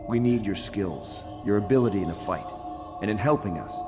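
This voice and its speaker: Low-voiced earnest rebel leader played by a man. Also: fairly quick, close to an everyday voice. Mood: resolute. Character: earnest male conspirator leader recruiting a fighter. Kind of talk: scripted video game dialogue. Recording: long hall reverb, music behind.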